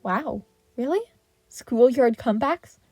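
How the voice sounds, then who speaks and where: A woman voicing an indignant male teacher in a story reading, sharp and commanding as he lectures a colleague. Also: on the high side, expressive, slow pace, no room sound, soft background music. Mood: dismissive.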